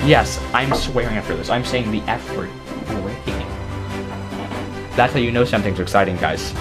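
A teenage boy talking casually to his stream in a small bedroom, excitable and jokey, his voice strained from overuse. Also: on the low side, loud music behind the voice. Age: teens. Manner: excitable, jokey, talks fast in bursts. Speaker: YouTuber. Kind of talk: casual stream chat to camera.

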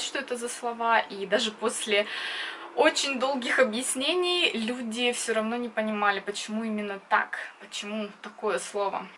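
A young woman in her twenties from Donbass with a Donbass accent, chatting casually to camera in a small wood-panelled room, blunt and quick to laugh. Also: mid-range voice, fairly bright tone, a little hiss or hum. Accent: Donbass Russian. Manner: chatty and blunt, laughs often, gestures with hands. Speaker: vlogger. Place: small indoor room with wooden wall.

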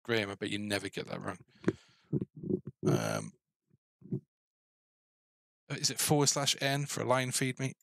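A man's voice: lowish voice, huge pitch swings, unhurried, creaky, pauses often, a bit bright, no echo. A middle-aged man giving casual, mildly grumbling, self-deprecating commentary as he codes a game, on a headset mic. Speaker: game developer.

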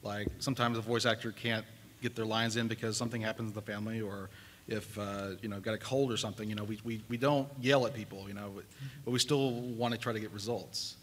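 A man's voice: on the low side, level tone, fast, long reverb tail. A man talking casually as one of several panelists. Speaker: convention panelist. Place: convention panel room with audience. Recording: faint room noise.

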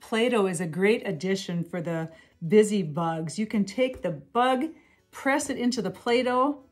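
A middle-aged woman demonstrating educational toys at a table in a small room, explaining each step clearly and cheerfully, with faint music behind her. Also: mid-range voice, animated, measured pace. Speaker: toy seller demonstrating products. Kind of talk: product demonstration.

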